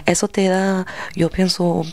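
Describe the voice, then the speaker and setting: A man's voice: high voice, flat delivery, unhurried, a little mellow. A native French-speaking man with a French accent, hosting a music TV interview.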